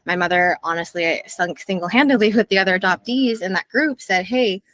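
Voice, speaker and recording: A woman's voice: mid-range voice, fairly quick, a bit bright. A young adult woman speaking as an interview guest, earnest and thoughtful, with frequent fillers. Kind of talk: reflective interview conversation.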